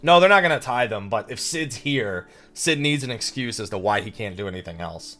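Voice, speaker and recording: A man live streaming a game, commenting with excitable interjections and sarcastic asides and reading dialogue aloud. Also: lowish voice, fairly quick, faint music behind.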